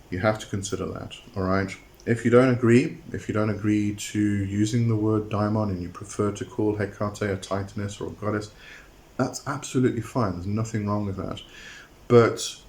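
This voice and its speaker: A man talking to his viewers in a hesitant monologue, often pausing on "um" and repeating words as he explains a term. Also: fairly low pitch, darkish tone. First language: not a Greek speaker. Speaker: occult channel host.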